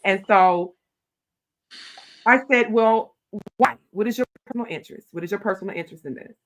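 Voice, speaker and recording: A middle-aged woman, a county commissioner talking emphatically in an interview, with a loud voice. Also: a little fast, poor audio. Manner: emphatic, animated storytelling with rising pitch when stressing points. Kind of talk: interview conversation.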